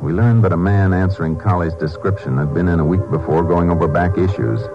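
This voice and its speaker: An actor playing a calm, polite Texas Ranger in a 1950s radio crime drama, matter-of-fact in dialogue and narration. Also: male voice, low voice, a little fast. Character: calm, steady male Texas Ranger lawman narrating his case. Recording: dull old-time radio recording, music behind.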